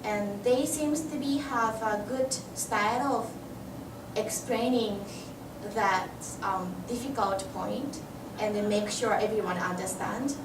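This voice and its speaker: A woman from Japan, a language student, speaking carefully and pausing often. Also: medium pitch. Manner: careful, with pauses and fillers. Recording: echoey, distant, noisy room.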